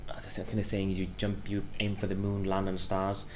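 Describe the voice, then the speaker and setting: Deep-voiced Welsh-accented young man. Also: monotone, a little fast, darkish tone, slight background noise. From Afghanistan. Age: 20s. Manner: reflective, hesitant, many fillers. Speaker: guest. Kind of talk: casual interview.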